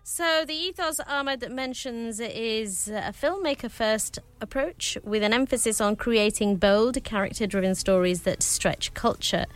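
A woman hosting a casual celebrity commentary, pausing often with filler sounds as she reads out facts. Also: fairly high pitch, faint music behind. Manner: hesitant, many filler sounds. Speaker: host.

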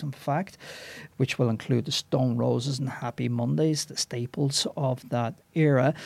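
A man's voice: a little high, gravelly, darkish tone, no echo. A man with a Dublin Irish accent hosting an evening radio music show, chatting casually with fillers and restarts. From Ireland. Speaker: radio host.

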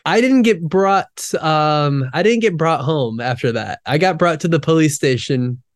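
Brief: young male musician, a bit high-pitched, laid-back and laughing. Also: measured pace. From United States. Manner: relaxed storyteller, laughs often, many fillers. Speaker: musician guest. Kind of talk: casual interview chat.

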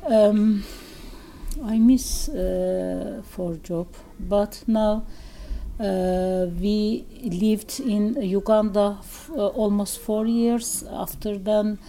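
A middle-aged woman with a Turkish accent, a guest in a radio interview, speaking haltingly in English with many pauses and fillers. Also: medium pitch, fairly even intonation, vocal fry, warm tone.

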